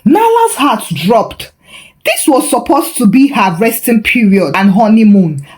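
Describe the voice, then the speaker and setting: A woman's voice: fairly high pitch, darkish tone, poor audio. A woman storyteller reading a scripted folktale narration.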